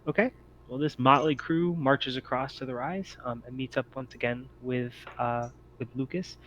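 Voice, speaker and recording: A man improvising narration as game master of a tabletop roleplaying game, often halting as he thinks aloud. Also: medium pitch, a bit bright, highs cut off, a little hiss or hum.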